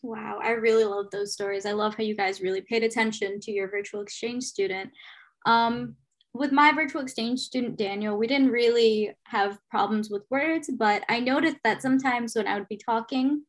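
A woman's voice: on the high side, fairly even intonation, normal speed. A young woman, an education student, leading the podcast discussion in an encouraging way. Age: young adult.

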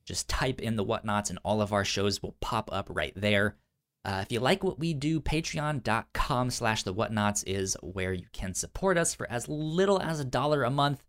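A man co-hosting a casual podcast, speaking informally and haltingly with a stutter that repeats sounds and syllables. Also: fairly low pitch, lively intonation, fairly bright tone. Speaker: podcast co-host.

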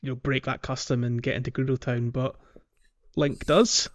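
A man's voice: medium pitch, fairly even intonation, moderate pace. A man hosting a casual gaming podcast, chatting playfully through a game walkthrough. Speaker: podcast host.

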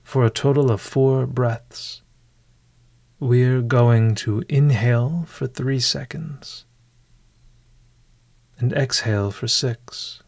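Male meditation guide, extremely slow pace, hushed deliberate delivery, long pauses, dry close sound. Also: mid-range voice, steady tone, gravelly, no room sound. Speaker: podcast host guiding meditation. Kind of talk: guided bedtime meditation, scripted narration.

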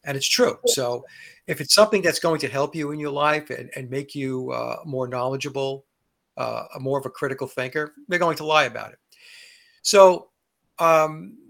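Gravelly-voiced man, halting speech. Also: on the high side, a bit bright. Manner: hesitant, many fillers and restarts. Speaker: interview guest. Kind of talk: casual interview chat.

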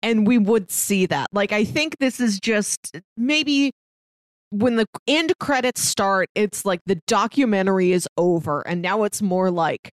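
A woman's voice: mid-range voice, unhurried, no room sound. A woman co-hosting a podcast, talking through a film in a casual, analytical way. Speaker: podcast co-host.

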